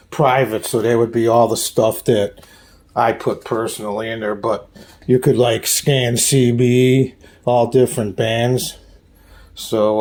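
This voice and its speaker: A man hosting a casual off-the-cuff hobby tour at home, talking in a halting, plain-spoken, rambling way. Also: mid-range voice, a little mellow. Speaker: hobbyist host giving a radio gear tour. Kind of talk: casual off-the-cuff show-and-tell vlog. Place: small room at home.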